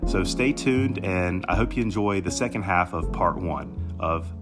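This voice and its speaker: A man's voice: deep voice, unhurried, music in the background. A man speaking as a podcast host in a scripted introduction to a read-aloud.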